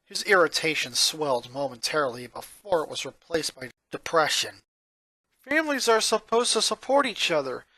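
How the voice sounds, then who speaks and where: A storyteller narrates scripted fiction in a mid-pitched voice. The delivery is dramatic and highly varied in melody, and the sound is dry with no room echo. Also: very animated, moderate pace, pushed and bright. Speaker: narrator reading fan fiction aloud.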